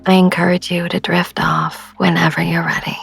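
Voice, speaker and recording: A woman hosting a sleep meditation podcast, speaking gently and soothingly in a soft, breathy near-whisper, unhurried. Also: on the low side, level tone, no echo, soft background music.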